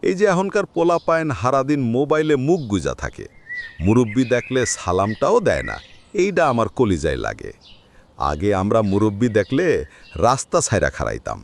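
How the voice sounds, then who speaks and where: A man's voice: medium pitch, huge pitch swings, a little fast, vocal fry, a little hiss or hum. An actor playing a frail, nostalgic old village grandfather in a cartoon, with a rural Bangladeshi accent. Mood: proud, then aggrieved. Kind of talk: cartoon drama dialogue.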